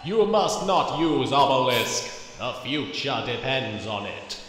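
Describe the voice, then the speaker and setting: A man's voice: medium pitch, takes it slowly. Cryptic robot ghost from the future, male actor, portentous then offhand, heavy reverb, parody dub. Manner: portentous, slipping into casual asides. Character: cryptic cybernetic ghost from the future, dryly comic. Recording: echoey reverb, loud music behind.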